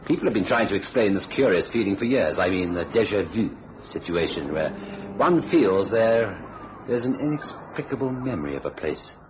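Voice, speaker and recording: A man plays a well-spoken English writer, curious and courteous, in a radio thriller. His voice is low and moves expressively, over clearly audible recording noise. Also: animated, normal speed, natural voice. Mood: musing. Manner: polite, musing, well-spoken. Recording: noisy radio drama recording.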